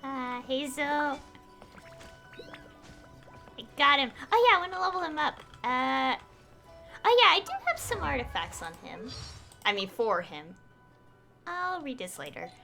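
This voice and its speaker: A woman chatting casually on a live game stream, excitable and rambling to her viewers. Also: sits high, a little slow, creaky, long pauses, soft background music.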